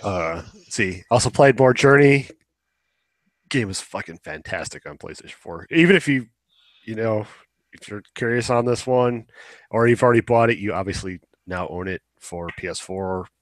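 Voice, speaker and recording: A man's voice: on the low side, animated, moderate pace, no echo. A young man chatting casually as a podcast co-host, opinionated and rambling, swearing now and then. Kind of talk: casual chat about video games.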